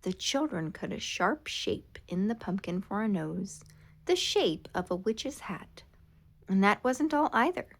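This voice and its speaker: Female storybook reader for children: expressive and dramatic, slow delivery with very animated intonation. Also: medium pitch. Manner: dramatic, expressive read-aloud with drawn-out words. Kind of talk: scripted children's storytime narration.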